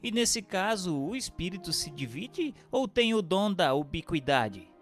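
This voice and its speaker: Animated male narrator in long reverb. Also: high-pitched, measured pace. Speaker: narrator and questioner. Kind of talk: scripted narration of a spiritist text. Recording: long hall reverb, music underneath.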